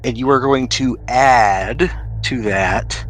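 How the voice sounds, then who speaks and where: A man's voice: medium pitch, slow, faint music behind, rough recording. A man acting as game master, describing scenes and rules in a descriptive, conversational storytelling way. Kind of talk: narrating a tabletop role-playing game. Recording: noticeable background noise.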